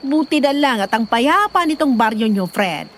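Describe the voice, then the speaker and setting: A woman acts out a middle-aged Filipino nanny for an audio drama. Her high voice swoops up and down as she fusses, nags and exclaims protectively over the young one in her care. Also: big pitch swings, moderate pace, slight background noise. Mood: chatty. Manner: exclamatory, nagging, colloquial.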